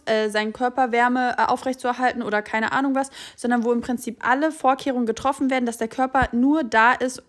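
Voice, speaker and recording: Woman podcast cohost, a bit high voice, chatty and emphatic, lots of ähs. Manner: emphatic, chatty, quick bursts with fillers. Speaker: podcast co-host. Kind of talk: casual chat.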